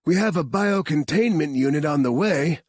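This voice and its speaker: A man's voice: on the high side, expressive, normal speed, a little mellow, dry recording, voice pushed up. A man voicing a young, earnest male computer expert in an audiobook.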